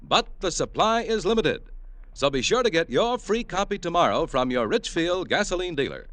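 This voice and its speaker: A man's voice: high-pitched, fairly bright tone, no echo. A man announcing on 1950s radio, reading an ad with polished, emphatic delivery. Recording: dry old radio broadcast.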